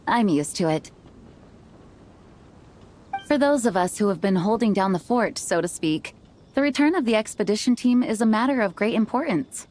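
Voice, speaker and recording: An actress playing an earnest, modest young knight commander in an anime game, speaking formally and politely. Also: female voice, mid-range voice, lively intonation, normal speed, long pauses, darkish tone, slight background noise, natural voice. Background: background music.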